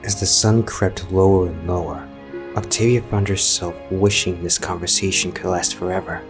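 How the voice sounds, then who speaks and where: A man's voice: low-pitched, fairly even intonation, moderate pace. A man narrating a scripted story as a storyteller. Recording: music behind the voice.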